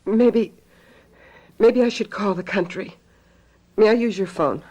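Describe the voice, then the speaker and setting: A woman's voice: medium pitch, unhurried, dark tone, highs cut off, close to an everyday voice. An actress playing an anxious, urgent woman who senses death, speaking with dramatic worry in a radio drama. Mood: worried, foreboding. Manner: worried, dramatic, insistent. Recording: radio drama with music behind.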